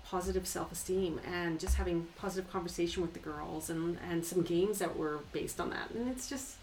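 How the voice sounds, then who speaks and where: A woman's voice: on the low side, a little fast, a little mellow, slight background noise, poor audio. A middle-aged woman chatting casually as a podcast guest, agreeable, informal and enthusiastic. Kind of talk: casual chat.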